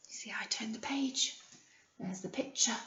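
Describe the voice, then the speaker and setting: A British woman, a primary school teacher, reading a picture book aloud to young children and pausing to explain and ask simple questions. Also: on the high side, a bit bright, echoey room, in a whisper, highs cut off.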